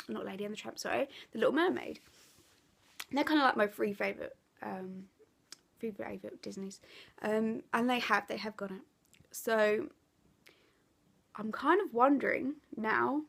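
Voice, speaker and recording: A woman's voice: fairly high pitch, gravelly. A woman with an Essex accent and a blocked, stuffy nose, chatting casually to camera as a craft vlogger, rambling with lots of ums and self-corrections. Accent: Essex English. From England.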